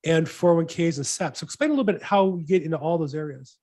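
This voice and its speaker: A man's voice: fairly high pitch, fast talker, a little mellow. A man hosting a weekly real estate video call, upbeat and enthusiastic, asking long rambling questions. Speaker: host, real estate broker. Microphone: video call mic.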